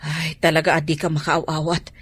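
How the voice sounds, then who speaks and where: A woman's voice: low-pitched, level tone, a little fast, slightly rough, dry recording. A woman playing a gossipy, impatient character in comic radio drama banter. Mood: exasperated. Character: gossipy, exasperated woman, impatient with dim friend. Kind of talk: radio drama comedy acting.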